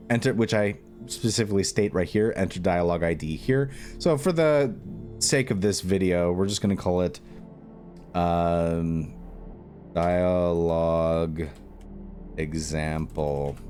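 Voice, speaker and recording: A man with a fairly low voice gives an informal software walkthrough. He works through it step by step, often stopping to think out loud and pick up his thread again. Music plays behind his voice. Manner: halting, thinking aloud. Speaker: tutorial presenter. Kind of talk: unscripted step-by-step screen tutorial.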